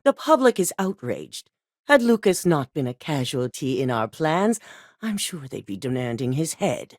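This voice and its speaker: A cold, calculating corporate executive woman from a video game. Her voice sits low, but she moves it around a lot as she hands down orders in a measured, unhurried way. The recording is dry and close. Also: no room sound. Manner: composed, measured and coolly authoritative. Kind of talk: game voice acting.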